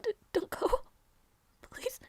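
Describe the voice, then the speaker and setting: A woman playing a gentle, devoted young princess in a romantic audio roleplay, speaking in a soft, halting way that often drops to whispers. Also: no room sound, close to an everyday voice. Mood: fearful pleading. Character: gentle, devoted young princess longing for her lost knight.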